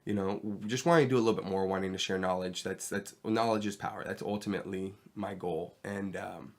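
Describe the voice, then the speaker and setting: Guy with a cold, stuffy nasal voice, lowish, rambling with lots of ums on a cheap home mic. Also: fairly even intonation, a little fast. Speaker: podcast host. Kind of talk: casual solo podcast monologue. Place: son's bedroom at home. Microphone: inexpensive mic into a laptop.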